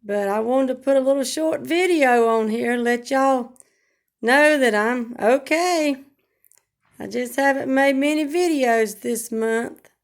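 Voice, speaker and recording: A hoarse woman, chatting slowly and plainly about her week at home into a phone camera. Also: high-pitched. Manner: slow, homespun, rambling talk with regional grammar. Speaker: vlogger. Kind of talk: casual home vlog chat.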